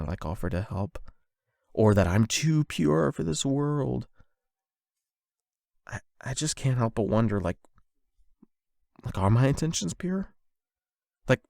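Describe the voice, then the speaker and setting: A man performing an intimate confession roleplay as a nervous, lovestruck young man, speaking in a halting, self-doubting, conversational way into a close microphone. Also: on the low side, creaky, a little mellow, no room sound. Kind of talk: emotional confession roleplay, ASMR-style monologue.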